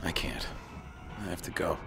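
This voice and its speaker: A wary, weary survivor in a zombie video game. His voice is low and swings widely in pitch, with terse, dry phrasing over loud music. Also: expressive, moderate pace, creaky. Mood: subdued, earnest. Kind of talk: naturalistic video game acting.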